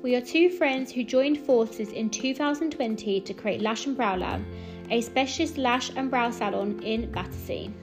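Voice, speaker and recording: A woman who co-owns a salon reads a scripted ad in a bright promotional tone. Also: a little high, moderate pace, soft background music. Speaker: salon co-owner in an ad. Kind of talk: scripted ad read.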